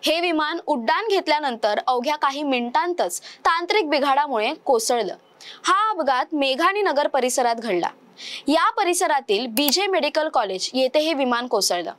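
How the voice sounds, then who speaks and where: High-voiced woman reading the news. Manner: measured, formal newsreader delivery. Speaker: news presenter. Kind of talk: scripted news narration.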